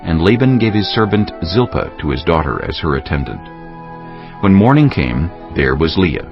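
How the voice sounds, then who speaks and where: A man's voice: deep voice, big pitch swings, normal speed. A man narrating a dramatized audio Bible in a solemn storytelling style. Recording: slightly dull sound, music underneath. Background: music.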